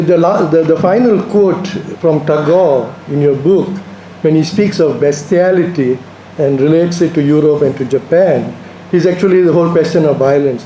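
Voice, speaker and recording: A man's voice: fairly high pitch, dark tone. A man in a lecture audience putting a polite, hesitant academic question in spontaneous speech. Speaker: audience member asking a question. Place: lecture room at a university. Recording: roomy echo, faint room noise.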